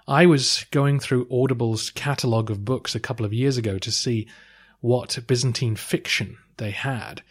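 Male podcast host, measured scripted delivery, average pitch. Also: breathy edge. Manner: measured, occasional um and uh. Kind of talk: scripted podcast intro and interview.

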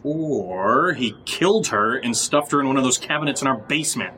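An actor plays a young man with a medium-pitched voice, an excitable and paranoid amateur sleuth in a horror audio drama. He talks naturally, with a joking streak. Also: normal speed, slight background noise. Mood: uneasy, suspicious.

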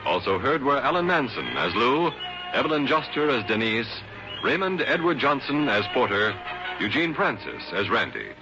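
A man's voice: medium pitch, very animated, moderate pace. A man announcing a 1960s radio show, reading in a scripted broadcast style. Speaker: program announcer. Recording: dull old radio broadcast recording. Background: music.

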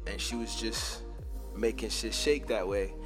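A young man hosting a podcast, telling loose, slangy stories in a casual way and dropping into low asides. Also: steady tone, unhurried, faint music behind. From Montreal, Canada. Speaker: podcast host. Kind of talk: casual storytelling.

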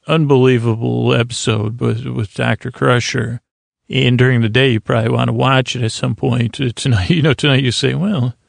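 A man hosting a bedtime podcast, rambling in a halting, meandering, self-deprecating monologue meant to lull listeners to sleep. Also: mid-range voice, creaky, no echo, clean studio sound. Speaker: podcast host.

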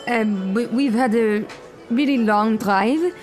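High-voiced, halting foreign newlywed. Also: female voice, over music. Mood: weary, polite. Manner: hesitant, halting. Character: hesitant, travel-weary foreign newlywed woman. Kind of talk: audio fiction acting.

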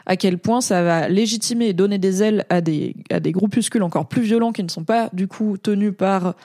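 A woman's voice: mid-range voice, a little fast, mellow, dry recording, clean studio sound. A woman from France giving casual, slangy commentary as a streamer reading chat, talking in a rambling way with frequent hesitations.

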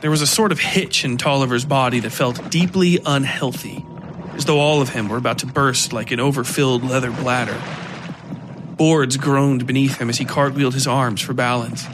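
Male podcast narrator, scripted horror story, mid-pitched, clear watery ambience behind. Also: fairly even intonation, normal speed, background noise.

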